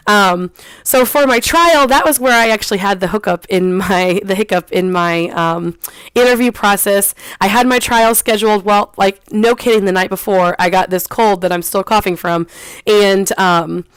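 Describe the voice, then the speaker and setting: A young woman rambles through her experience in a relaxed, chatty way, peppered with ums, restarts and small laughs. Her voice is smooth and clear. The sound is dry and close, with no room echo. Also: mid-range voice, normal speed. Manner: laughs lightly between phrases. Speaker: online English teacher. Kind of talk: casual vlog chat.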